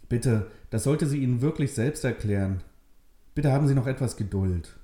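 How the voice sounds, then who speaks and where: A man's voice: medium pitch, normal speed, pitched above the performer's own voice, close to an everyday voice. A man reading a story, voicing a courteous, kind young man who is a little sheepish, in a roomy-sounding recording. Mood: flustered. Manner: courteous, gently evasive. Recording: roomy echo.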